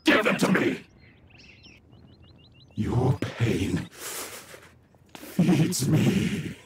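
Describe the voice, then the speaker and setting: Game villain: maniacal male killer, raspy and theatrical, wildly swooping pitch, noisy ambience behind. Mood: gloating, sinister. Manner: theatrical menace, slow gloating delivery. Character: sadistic, maniacal male killer who relishes violence. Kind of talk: video game character voice lines.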